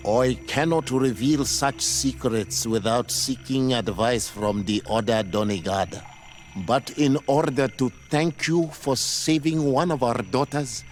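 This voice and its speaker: Video game spiritual leader: slow, solemn, formal and dignified, melodic delivery, music underneath. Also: male voice, mid-range voice. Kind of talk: scripted video game acting.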